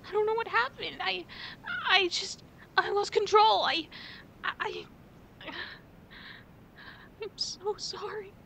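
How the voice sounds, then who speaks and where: Woman acting upset werewolf gf in asmr roleplay, super slow n stammery, sighs, rumbly noise behind. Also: full character voice. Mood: panicked, remorseful. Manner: halting, stammering, sighing. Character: distraught, apologetic werewolf girlfriend, ashamed and tired. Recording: steady rumbling background noise.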